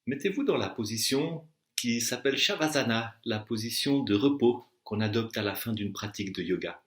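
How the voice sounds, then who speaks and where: A man teaching meditation, guiding a deep relaxation with slow, deliberate instructions and long pauses. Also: expressive. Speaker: yoga nidra and meditation teacher. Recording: echoey room sound.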